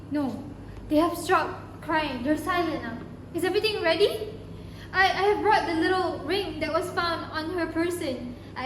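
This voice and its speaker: Fretful young mourner played by a female amateur, very high voice, earnest and halting, student play in an echoey room. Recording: echoey room, faint noise.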